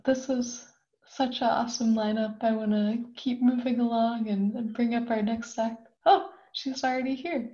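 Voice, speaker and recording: Woman, show host, introducing acts, enthusiastic, warm tone, dull lo-fi call audio. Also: a little high, fairly even intonation. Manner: enthusiastic, quick.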